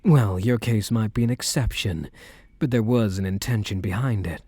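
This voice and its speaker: A soft, dark-toned young crime boss acted by a man, talking tenderly to a partner in a boyfriend roleplay. His delivery is measured and protective, recorded very dry and intimate. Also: on the low side, breathy edge, no echo. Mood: matter-of-fact, careful. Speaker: roleplay voice performer. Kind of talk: boyfriend ASMR audio roleplay.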